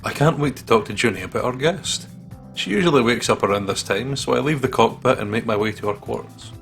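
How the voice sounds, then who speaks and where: A man's voice: medium pitch, animated, brisk, creaky, fairly bright tone. A man co-hosting a gaming video, reading story text aloud and adding jokey, playful commentary. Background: game soundtrack music.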